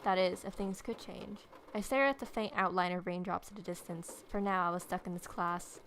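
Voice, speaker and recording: A woman's voice: on the low side, steady tone, moderate pace, a little hiss or hum. A woman doing a casual let's-play, reading game text aloud and adding wry, sardonic asides. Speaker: gaming video host. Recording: faint game music behind. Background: soft game music.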